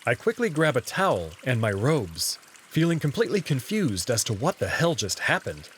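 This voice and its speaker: Animated male story narrator. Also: mid-range voice, normal speed, a little hiss or hum. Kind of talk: first-person story narration.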